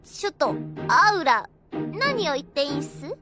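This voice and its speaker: A woman's voice: high-pitched, very animated, measured pace, over music. A woman playing a cheeky, girlish fantasy guardian in an exaggerated anime audio drama style. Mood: indignant.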